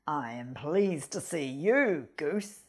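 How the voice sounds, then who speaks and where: A woman's voice: deep voice, animated, slow pace, slightly rough, voice pushed down, heavily acted. A woman voicing a sly, hungry male fox in a storybook, gloating and menacing in a whispery way.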